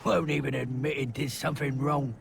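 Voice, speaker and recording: A man's voice: mid-range voice, fairly even intonation, brisk, creaky, noisy background. A man voicing a chatty, irreverent servant creature in a video game, theatrical and cheeky like a folksy guide. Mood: wry, ominous. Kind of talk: video game cutscene acting. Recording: music behind the voice.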